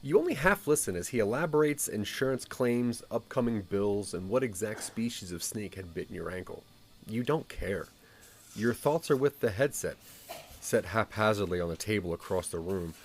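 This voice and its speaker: A man's voice: lowish voice, expressive, moderate pace. A man narrating a scripted audio drama in the second person, with a dramatic storytelling manner.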